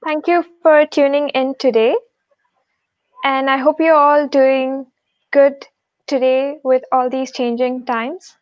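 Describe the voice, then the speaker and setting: High-voiced woman hosting an online session. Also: dry recording. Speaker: host of an online tech session. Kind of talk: live-stream introduction. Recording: dry, dull lo-fi call audio.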